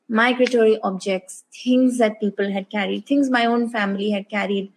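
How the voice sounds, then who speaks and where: A woman, a guest author and oral historian, answering a panel question thoughtfully, with articulate phrasing and hesitant fillers. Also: medium pitch.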